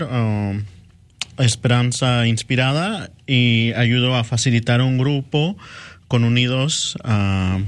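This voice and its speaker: A man's voice: mid-range voice, clean tone. A man from the United States speaking casually as a psychotherapist guest in a radio interview. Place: radio studio.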